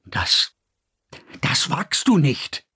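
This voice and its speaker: A male narrator voicing a cautious, worried older man who speaks slowly and warns his partner. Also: on the high side, expressive, breathy edge. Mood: shaken. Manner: slow, hesitant, admonishing.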